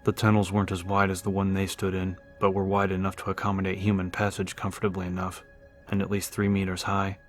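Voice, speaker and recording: A man with a low voice narrates a horror audiobook. The reading is dramatic and scripted, with quiet music underneath. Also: completely flat delivery, quick pace.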